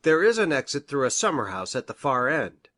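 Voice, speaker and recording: A woman's voice: very deep voice, very animated, fairly quick, a bit bright, full character voice. A woman voicing a grave, hesitant male country doctor in a storybook reading, formal and precise in manner. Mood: matter-of-fact. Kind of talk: audiobook character reading.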